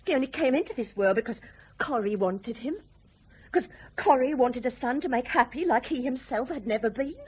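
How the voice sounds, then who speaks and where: A woman's voice: high-pitched, expressive, normal speed, husky. An actress in a radio drama playing a bitter, trapped wife and mother, fed up and scornful. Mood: resentful, defiant.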